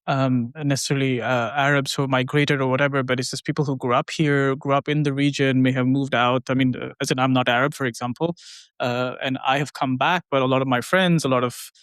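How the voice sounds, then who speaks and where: A young man co-hosting as the show's producer speaks in a loose, conversational way, leaning on fillers and tag questions. His voice sits at an average pitch for a man, recorded close and dry. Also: steady tone, a little fast, a bit bright, smooth, no echo. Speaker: podcast producer and co-host. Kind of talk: casual podcast conversation.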